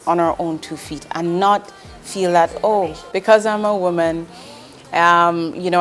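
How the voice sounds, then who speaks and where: A woman in her forties, from Jamaica, speaking as an investment executive in an interview, earnest and direct, with ums and restarts. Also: fairly low pitch. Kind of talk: candid interview answers.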